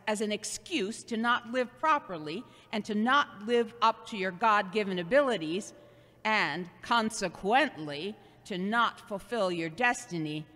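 A woman playing a loving, gently scolding seventy-year-old mother in an audio drama, earnest and halting. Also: medium pitch. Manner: earnest, sermonizing, halting delivery. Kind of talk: amateur audio drama acting. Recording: long hollow reverb.

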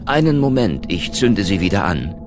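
A man voicing a stern, excitable father in a horror audiobook, with a forceful, insistent manner. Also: deep voice, normal speed, over music, voice pushed up. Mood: firm, confident. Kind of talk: audiobook character acting.

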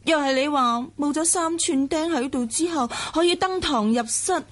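A woman's voice: high-pitched, moderate pace, natural voice. A woman with a Hong Kong Cantonese accent playing a coquettish, jealous young mistress in a radio drama, pouting and coy.

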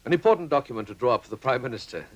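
An actor playing a self-important Member of Parliament with a South African accent, brisk and pompous, in a film comedy. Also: male voice, medium pitch, animated, close to an everyday voice. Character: self-important, busy male small-town Member of Parliament.

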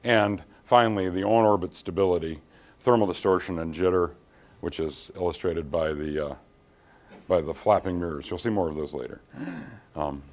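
A middle-aged man with a deep voice presents an engineering keynote in a darkened conference hall. He speaks in a measured, careful way, pausing on ums and restarting phrases as he explains each slide. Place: dim conference hall, standing by projector screen.

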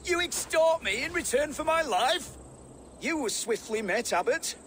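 Haughty medieval lord in a game, strained and choking, very high slow voice. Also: male voice, animated, a bit bright, a little hiss or hum, full character voice. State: strained, gasping, coughing. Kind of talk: dramatic video game acting.

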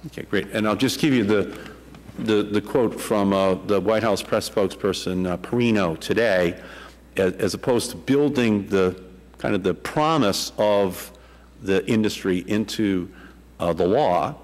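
Halting committee chairman in a reverberant hall. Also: lowish voice. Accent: Boston. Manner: halting, many fillers and restarts. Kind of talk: congressional hearing questioning. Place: large hearing room. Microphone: desk microphone. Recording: reverberant hearing room.

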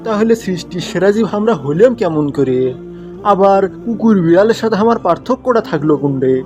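Man acting a high-voiced, preachy cartoon schoolteacher. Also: lively intonation, fairly quick, a little mellow, faint music behind. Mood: stern, preachy. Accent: Bogura, northern Bangladesh. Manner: mock agreement, rhetorical questions, preachy. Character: sarcastic, moralizing male schoolteacher scolding his pupil. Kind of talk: comic cartoon dialogue turning into sermon.

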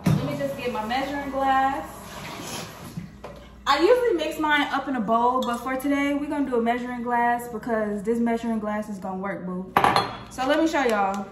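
A woman's voice: on the high side, normal speed. A young American woman casually demonstrating cooking to viewers in a chatty, slangy way, recorded in a home kitchen. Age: young adult. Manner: informal, chatty, folksy slang. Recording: echoey kitchen with noticeable background noise.

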